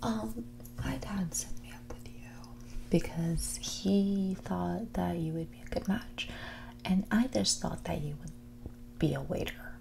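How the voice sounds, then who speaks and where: A woman playing a mean, condescending rich young woman on a first date in a whispered, soft-spoken ASMR roleplay, with a bored drawl. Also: fairly low pitch, a little slow, vocal fry, background noise. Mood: dismissive. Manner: condescending, drawling, bored, valley-girl filler "like".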